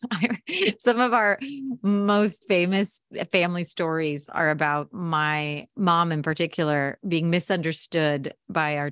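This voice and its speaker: A woman's voice: low voice, no echo, studio quality. A woman from the United States, a podcast guest, telling stories in a casual interview and acting out quoted dialogue. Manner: lively storyteller, acts out quoted dialogue.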